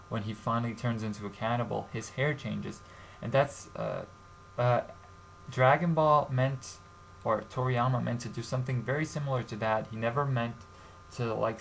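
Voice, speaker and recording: A young man from Serbia hosting a casual commentary vlog, talking in a halting way with many fillers and restarts. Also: on the low side, creaky, rough recording. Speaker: YouTube channel host. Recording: faint background noise.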